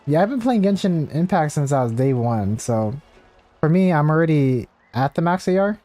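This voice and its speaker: A man's voice: fairly high pitch, big pitch swings, moderate pace, dark tone. A man livestreaming a game, chatting casually with viewers in a rambling, hesitant way. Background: faint game music.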